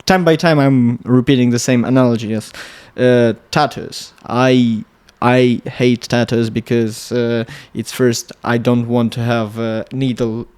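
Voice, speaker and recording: A man's voice: mid-range voice, fairly even intonation, a little mellow, smooth. A young man from Latvia whose first language is Russian, talking in a casual podcast interview and often stopping to search for words. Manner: halting, searching for words, many restarts. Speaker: podcast guest, electronics engineer.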